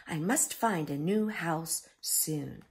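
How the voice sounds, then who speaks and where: A woman voicing a gentle, earnest hermit crab in a children's storybook, speaking politely and with expression. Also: sits low, takes it slowly. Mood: worried.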